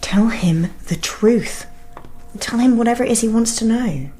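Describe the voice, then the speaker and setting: A woman's voice: on the high side, normal speed, mellow, natural voice. A woman with a British accent playing an earnest, insistent wife in a naturalistic radio drama, urgent and intense. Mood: urgent, accusing. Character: earnest, insistent young wife, convinced and pressing.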